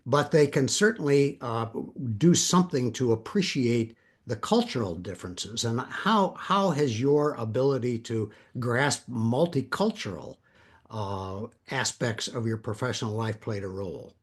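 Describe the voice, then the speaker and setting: Husky man asking deliberate interview questions. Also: medium pitch, expressive. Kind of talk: formal, unhurried interview questioning.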